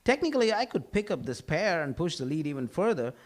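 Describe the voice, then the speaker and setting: A man's voice: fairly high pitch, very animated, brisk. A man playing a smug, condescending, hot-tempered bowler in a live comedy table read.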